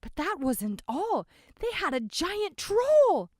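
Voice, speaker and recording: A woman's voice: on the high side, sing-song, measured pace, a little mellow, dry recording, studio quality, pitched above the performer's own voice. A woman voicing a shaken young man who stammers as he tells what he saw. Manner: stammering, breathless.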